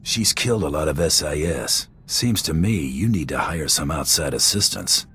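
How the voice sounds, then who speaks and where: An actor playing a gruff, cocky male bounty hunter in a video game, speaking in terse deadpan lines. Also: extremely low pitch, moderate pace, a little hiss or hum, full character voice. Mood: terse, businesslike.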